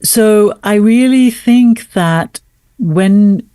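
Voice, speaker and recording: Soft dark toned woman being interviewed, earnest and articulate, stresses her points, no room echo. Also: mid-range voice, very slow. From raised in South Asia, educated in Australia. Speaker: podcast guest, author and former English teacher. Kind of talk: conversational interview.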